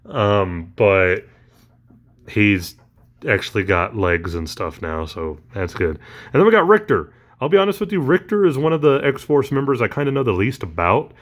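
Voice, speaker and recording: A man talking casually through a toy unboxing, rambling with lots of ums and uhs, his voice recently back after allergies. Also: mid-range voice.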